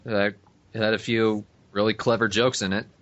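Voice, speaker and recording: Lowish-voiced man, muffled call audio. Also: little pitch movement, normal speed, a bit bright. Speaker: podcast co-host. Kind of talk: casual fan chat. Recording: dull lo-fi internet call.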